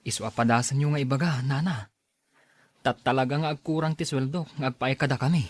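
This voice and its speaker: A worried working family man in a radio drama, played by an actor. He is a respectful servant with a medium-pitched, plaintive voice, recorded dry. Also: steady tone, brisk, dry recording, close to an everyday voice. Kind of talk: Ilocano radio drama acting.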